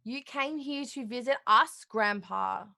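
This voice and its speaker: A woman acts a clever little girl in a comic play. The voice is very high and piercingly bright, with a whiny, pert, bossy edge. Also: measured pace, creaky, heavily acted. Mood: exasperated.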